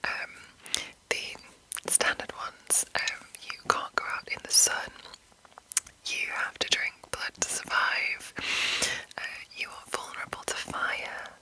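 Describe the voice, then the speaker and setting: A woman whispering an ASMR explanation in a breathy, informal, rambling and unhurried way. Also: poor audio. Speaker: ASMR host.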